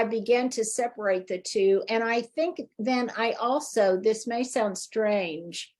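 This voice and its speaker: A middle-aged woman, a garden designer, speaking as a podcast guest in a thoughtful, candid way and picking up speed as she explains. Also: fairly high pitch. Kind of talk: reflective interview conversation.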